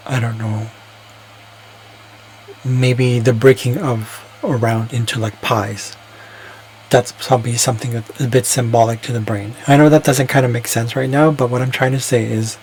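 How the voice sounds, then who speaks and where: A man's voice: medium pitch, slight background noise. A man talking alone in a casual, reflective monologue, rambling and hesitant, often restarting his sentences. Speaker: vlogger.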